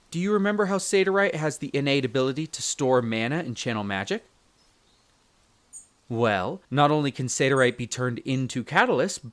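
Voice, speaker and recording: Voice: mid-range voice, lightly acted. A performer playing a young male elf explorer, a chatty, friendly guide speaking to the listener in an audio roleplay. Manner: expressive, chatty, stammers when flustered.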